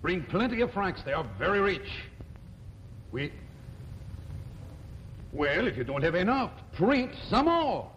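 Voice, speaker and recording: A sly French swindler who oozes politeness. His voice sits high and swoops around. The old TV soundtrack is muffled, with faint hiss. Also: sing-song, a little slow, long pauses, fairly bright tone, heavily acted. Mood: scheming. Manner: oily, exaggerated politeness. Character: smooth-talking French con man, sly and ingratiating. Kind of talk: 1950s sitcom acting.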